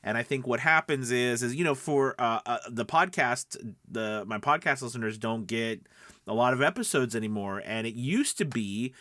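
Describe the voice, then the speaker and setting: A man's voice: mid-range voice, lively intonation, normal speed, pushed and bright, no room sound, studio quality. A middle-aged man hosting a voice-over coaching video podcast, talking casually and instructionally, rambling and often rephrasing himself. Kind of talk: casual instructional vlog talk.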